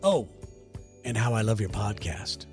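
A man's voice: expressive, a little slow, music in the background. Guy on a comedy podcast reading a letter, jokey and teasing, voice bounces around a lot. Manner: teasing, jokey, breaks into falsetto song. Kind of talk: joking podcast banter.

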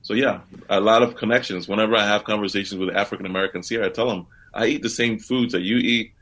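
A man's voice: on the low side, steady tone, quick pace, a bit bright. A man from Liberia, a guest on a radio show, thoughtfully explaining his life story with frequent restarts.

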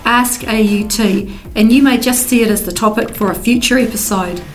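A woman's voice: fairly high pitch, normal speed. A woman co-hosting a podcast, reading intros and chatting informally. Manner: reads scripted intros, then chats informally. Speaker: podcast co-host.

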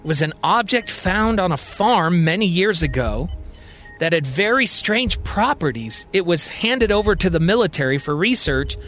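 A grave and insistent President of the United States played by a male storyteller in a sci-fi audio serial. The voice sits high, with faint music underneath. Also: expressive, moderate pace, a bit bright. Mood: grave, explaining. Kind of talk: dramatic storytelling podcast.

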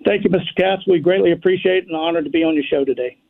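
A man calling in as a radio guest, speaking haltingly with many fillers. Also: gravelly. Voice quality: deep voice. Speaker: firefighters' union president, radio guest. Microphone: phone line. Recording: tinny phone line.

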